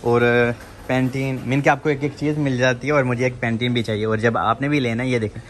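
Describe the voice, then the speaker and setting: A man's voice: mid-range voice, flat delivery, a little fast. Chatty man vlogging in a noisy supermarket. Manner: chatty, addresses viewers as "janab", says "theek hai" often. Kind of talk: casual walk-through vlog. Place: large supermarket in a shopping mall, indoors. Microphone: handheld phone camera. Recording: noisy store background.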